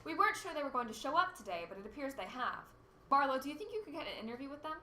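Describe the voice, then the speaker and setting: A woman's voice: sits high, very animated, rapid-fire, bright, forceful tone, full character voice. A woman playing a businesslike, blunt and impatient radio news anchor in an amateur radio play. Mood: newsy, composed. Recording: roomy echo, background noise and ambience.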